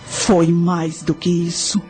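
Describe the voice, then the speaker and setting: A woman playing a grave high priestess of ancient Carthage in a radio drama, telling her story formally. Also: flat delivery, takes it slowly, a little mellow. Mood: grave narrating. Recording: music under the voice.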